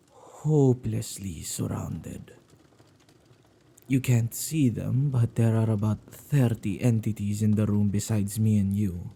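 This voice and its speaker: Man playing a dark, husky, playful witch. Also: lowish voice, full character voice. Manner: teasing, theatrical, leisurely musing. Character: playful, possessive male witch who commands spirits. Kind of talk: ASMR roleplay acting.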